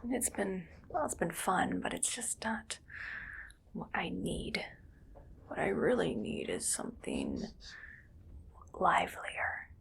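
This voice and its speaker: A female mad scientist acted out by a woman murmurs in a slow, breathy whisper with a strong creak underneath. She is eerily calm and gleefully morbid, musing to herself in a hushed ASMR roleplay. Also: fairly low pitch, heavily acted. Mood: softly menacing.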